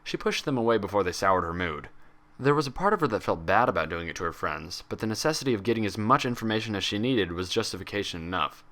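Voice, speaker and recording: Man, lowish pitch, story narrator, scripted reading. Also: animated, fast, fairly bright tone. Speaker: fan fiction reader.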